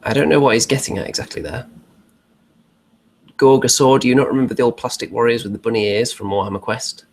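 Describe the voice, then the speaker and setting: A man's voice: mid-range voice, fairly even intonation, quick pace, vocal fry, a little mellow, slight background noise. A man co-hosting a hobby show in casual conversational chat.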